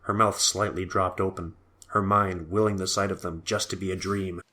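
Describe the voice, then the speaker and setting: A man's voice: low voice, flat delivery, normal speed, sharp tone. A man reading scripted story narration for an audio drama.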